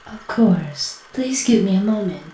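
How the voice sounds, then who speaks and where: A woman's voice: mid-range voice, expressive, measured pace, dark tone, roomy sound, slight background noise, lightly acted, rough recording. A woman playing a polite, helpful operator with a formal service tone in an amateur audio drama.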